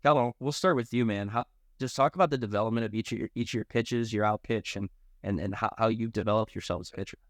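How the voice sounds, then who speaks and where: Easygoing guy hosting a podcast, chummy interview talk, voice a bit low, dry sound. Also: quick pace, dry recording. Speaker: podcast host interviewing athletes. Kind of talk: casual interview chat.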